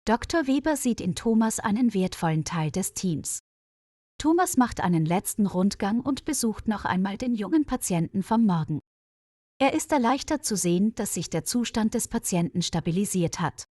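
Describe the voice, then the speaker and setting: A woman narrating a scripted story for language learners in a clear, measured, evenly paced reading voice. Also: medium pitch, animated, warm tone, no echo, clean studio sound.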